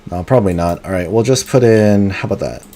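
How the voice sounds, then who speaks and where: Low-voiced man streaming a game. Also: fast. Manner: casual, muttering to himself between excited outbursts. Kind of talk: live gameplay commentary.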